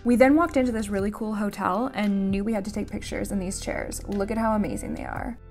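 A young woman narrates her vlog in a close voice-over, bubbly and gushing at a middle pitch. Faint music plays softly underneath her. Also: steady tone, a little fast. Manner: enthusiastic, gushing. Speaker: vlogger.

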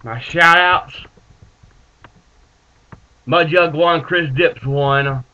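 Congested twenty-something guy rambling into a webcam, voice sits a bit high, sound kinda muffled. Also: bright, forceful tone. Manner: rambling, halting, laid-back. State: stuffed up from allergies. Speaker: vlogger reviewing dip tobacco. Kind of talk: casual webcam vlog. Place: small room with bookshelves, indoors. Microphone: built-in webcam mic.

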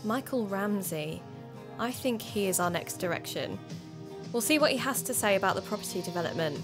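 A woman's voice: medium pitch, expressive, fairly quick, over music, close to an everyday voice. A woman playing a thoughtful, cautious podcaster turned amateur sleuth in a conversational audio drama. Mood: puzzled, pondering.

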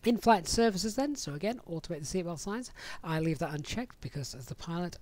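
A man's voice: high-pitched, expressive, brisk, darkish tone. A man narrating a casual tutorial in a conversational, explanatory way, with slightly hesitant phrasing. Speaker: flight simulator streamer giving a tutorial. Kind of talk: casual software tutorial voice-over.

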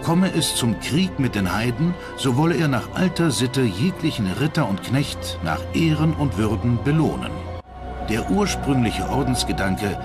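A man narrating a scripted historical documentary. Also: medium pitch. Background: music.

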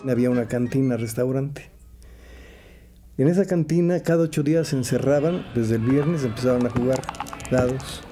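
A man's voice: medium pitch, normal speed, over music. A man with a Mexican accent recalling old colleagues in anecdotes during an interview.

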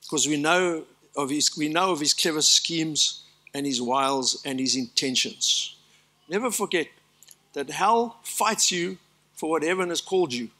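Older south african pastor preaching on a church stage, bright voice, a bit high, stresses his lists. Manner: earnest preaching with emphatic lists and asides. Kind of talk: sermon.

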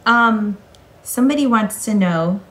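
A woman's voice: medium pitch, expressive, measured pace. Woman host reading out questions. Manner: warm questioning, reads audience questions. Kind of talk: casual interview chat. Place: bright living-room studio set.

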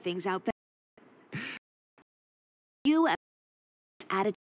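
A woman's voice: mid-range voice, expressive, extremely slow, no echo. A woman playing a proud, blunt young tailor in a video game, curt and assertive. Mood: dismissive.

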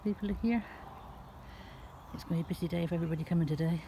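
A woman filming a casual vlog on her phone outdoors at a campsite, cooing at her cats in a high baby voice. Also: very fast, vocal fry, warm tone, slight background noise. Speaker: vlogger. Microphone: handheld phone.

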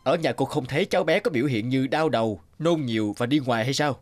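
A man voicing a stern, reproachful doctor in an animated drama, brisk and authoritative. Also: mid-range voice, faint music behind, natural voice.

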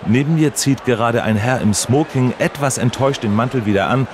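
An actor playing a polite, straight-faced male radio reporter, asking formal deadpan questions in a mock on-location report. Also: medium pitch, a little fast, background noise, close to an everyday voice.

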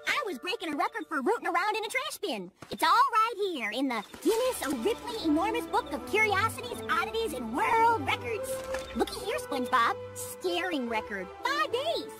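A woman's voice: extremely high pitch, sing-song, moderate pace. A woman voicing a feisty, boastful squirrel with a Texan accent in a cartoon, brash and folksy. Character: feisty, boastful, daredevil female squirrel from Texas. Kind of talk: animated cartoon voice acting. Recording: loud music behind voice.

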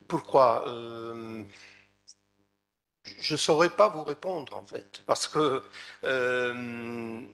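A man's voice: medium pitch, very slow, long pauses, a bit bright. A man in his fifties with an Italian accent, answering questions hesitantly as he searches for words into a table microphone. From Italy. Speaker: author, guest speaker. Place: seated at a table in a meeting room. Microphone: table gooseneck microphone.

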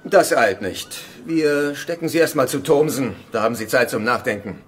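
An actor playing a cold, commanding and cynical gang boss in a radio drama. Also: male voice, mid-range voice, normal speed, slight background noise, lightly acted. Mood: cold, calculating.

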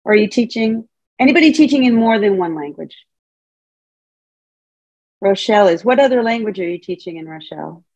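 A woman's voice: medium pitch, animated, fairly quick, pauses often, a little mellow, dry recording, highs cut off. A middle-aged woman, a Montessori teacher trainer, giving a casual, playful talk over a video call and often asking her audience questions. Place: home room, indoors. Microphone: video call webcam.

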